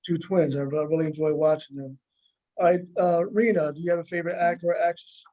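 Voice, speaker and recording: A male interviewer with a high-pitched voice chats casually on a video call. He hesitates and circles back over his own words as he puts questions. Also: steady tone, quick pace, dark tone, lo-fi sound. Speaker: interviewer, show host.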